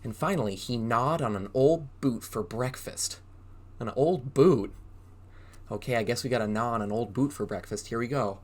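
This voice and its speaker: Animated man reading to young children. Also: mid-range voice, moderate pace. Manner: animated, exaggerated, prompting child listeners with questions. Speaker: preschool drama teacher reading a storybook aloud. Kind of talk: interactive children's read-aloud.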